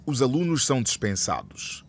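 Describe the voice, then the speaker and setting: A man's voice: mid-range voice, wildly swooping pitch, moderate pace. A man narrating a scripted radio drama. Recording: faint background noise.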